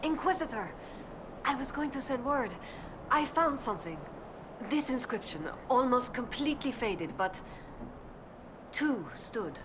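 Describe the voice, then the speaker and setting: A woman's voice: sits high, lively intonation, a little slow, pauses often, background noise. An actress playing a young female elven research assistant in a video game, earnest and determined, speaking in a precise, scholarly way. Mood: eager. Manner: precise, scholarly, thoughtful delivery.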